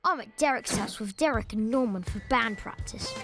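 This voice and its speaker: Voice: medium pitch, moderate pace, natural voice. An actor voicing a cheerful, chatty schoolboy narrator in a children's cartoon. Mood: upbeat. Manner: chatty, boyish. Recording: music behind the voice.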